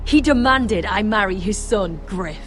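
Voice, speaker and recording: A woman's voice: medium pitch, animated, unhurried, fairly bright tone, a little hiss or hum. An actress playing a proud young noblewoman in a fantasy video game, speaking with defiant, pleading intensity. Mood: grave, indignant. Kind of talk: video game dramatic acting.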